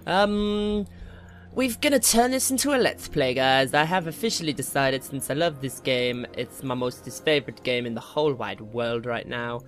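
A man gives casual Let's Play commentary at a middling pitch. He mutters to himself as he plays, dropping jokey, slangy asides, with game music running behind his voice. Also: moderate pace, fairly bright tone. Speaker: gaming video host. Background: video game music.

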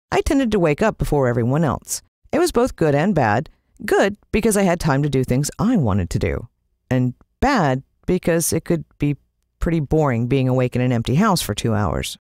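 An American woman narrating a children's story in the first person, expressive and suspenseful. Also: low-pitched, no echo, clean studio sound. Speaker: audiobook narrator.

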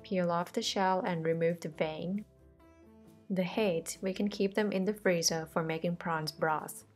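A woman's voice: on the low side, fairly even intonation, measured pace, music in the background. A woman, the host of a cooking channel, talking through a recipe step by step in a friendly, reassuring voice-over. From Thailand.

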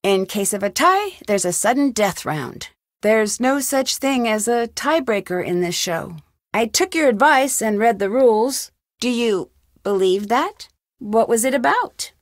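A woman's voice: a little high, expressive, unhurried, no room sound, studio quality. A woman voicing an inquisitive, friendly young amateur sleuth in a video game, speaking in a questioning, conversational way. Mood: curious. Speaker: game protagonist. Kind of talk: video game dialogue lines.

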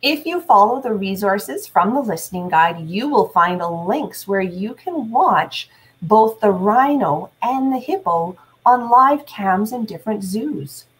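Woman, forties, slow pace, deliberate teacher's delivery, instructional talk for children. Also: medium pitch, lively intonation, rough recording. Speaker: teacher and read-aloud host. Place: small indoor room at home.

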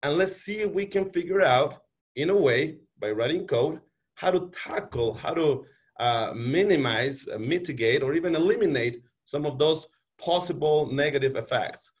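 A man's voice: a little high, expressive, moderate pace. A man in his thirties with a Mexican accent, presenting as a city planning official on a video call, emphatic and full of fillers and repeated words. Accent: Mexican Spanish. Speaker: city community development director. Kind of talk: conference panel presentation. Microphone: webcam on video call.

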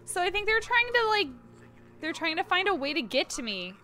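Animated, high-pitched woman game streamer. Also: brisk. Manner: animated, giggly, swears casually. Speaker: live streamer playing a video game. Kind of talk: casual live game commentary and chat.

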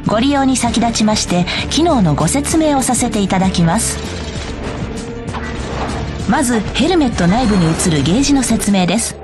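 A woman's voice: a little high, lively intonation, moderate pace, over music, lightly acted. A woman voicing a polite, cheerful corporate guide, reading scripted tutorial narration for a game. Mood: polite, bright. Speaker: tutorial announcer.

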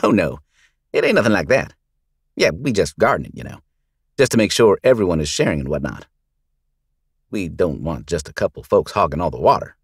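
A man's voice: sits low, very animated, a little fast, pauses often, breathy edge, dry recording, studio quality, voice pushed up, heavily acted. A man doing a gangly sneering gang leader. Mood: mocking. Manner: taunting, laughing, showy.

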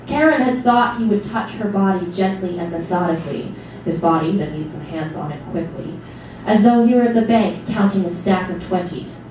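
A woman giving a dramatic reading of literary narration on stage. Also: medium pitch. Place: small indoor theatre stage before an audience. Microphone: distant camera microphone. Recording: echoey hall, far microphone, noisy.

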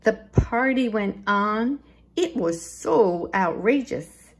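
Slow, animated woman reading a picture book. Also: medium pitch. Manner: expressive, deliberate, emphasising rhymes and rhythm. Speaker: children's librarian reading a storytime. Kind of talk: picture-book read-aloud for children.

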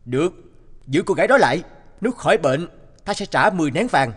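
A man voicing a proud, suave young enemy general with a commanding, self-assured manner in an animated tale. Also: fairly high pitch, brisk, husky, big-room sound. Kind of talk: Vietnamese animated moral tale voice acting.

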